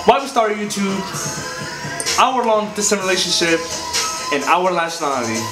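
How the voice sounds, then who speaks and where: A young man from Morocco, whose first language is Arabic, talks to camera in a casual, slangy way with halting phrasing. His voice sits fairly high for a man. It is recorded in an echoey room, with music audible behind him. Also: lively intonation. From Morocco until about ten, then US. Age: young adult. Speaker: vlogger, co-host. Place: living room couch, indoors. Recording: roomy echo, music behind.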